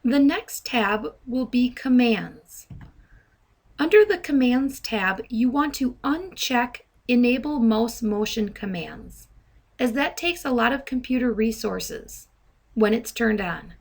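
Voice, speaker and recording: A woman presenting step-by-step software training in a deliberate, instructional manner. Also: a little high, animated. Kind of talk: step-by-step tutorial narration. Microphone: handheld dictation microphone.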